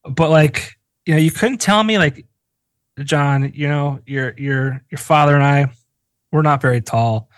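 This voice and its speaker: Animated self-mocking man in his forties. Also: fairly high pitch, a little slow, no room sound. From northeastern Pennsylvania. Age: 40s. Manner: animated, self-mocking storyteller who swears freely. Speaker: podcast host. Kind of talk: casual chat.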